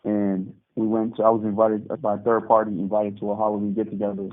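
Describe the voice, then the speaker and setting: A man in his thirties from Barbados, calling in from prison and telling his story calmly, with hesitant restarts. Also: lowish voice, quick pace. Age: 30s. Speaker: guest. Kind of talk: phone interview. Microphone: phone call. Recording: tinny phone line.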